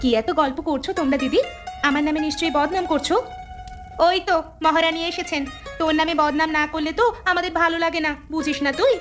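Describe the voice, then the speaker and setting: A woman's voice: high-pitched, fairly even intonation, fast talker, over music, lightly acted. A woman voicing a bickering sister-in-law in a village family cartoon, scolding and complaining. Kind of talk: cartoon family drama dialogue.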